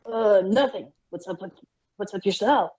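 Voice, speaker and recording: Woman voicing Spike, a mellow young male dragon. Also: medium pitch, moderate pace, slightly rough, lo-fi sound, natural voice. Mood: casual, hesitant.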